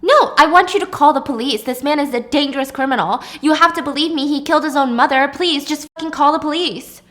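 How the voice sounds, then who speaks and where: A woman's voice: sits high, fast, fairly bright tone. A woman voicing a desperate, frantic wife who pleads urgently and insistently for help.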